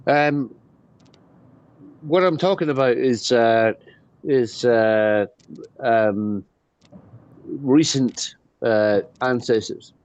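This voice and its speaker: Hesitant Scottish Highland man, slow. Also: darkish tone, no echo, dull audio. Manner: hesitant, many fillers and restarts. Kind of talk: casual discussion.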